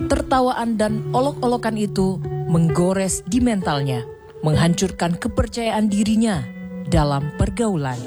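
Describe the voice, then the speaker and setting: A woman narrating a story in a measured, dramatic way. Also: medium pitch, lively intonation, over loud music. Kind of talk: scripted narration.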